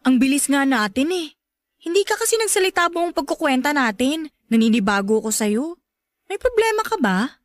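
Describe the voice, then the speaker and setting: An actress playing a defensive, shy, lovelorn young woman in a Tagalog radio drama, emotive and quick to deny. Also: high-pitched, sing-song, no echo, clean studio sound. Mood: evasive.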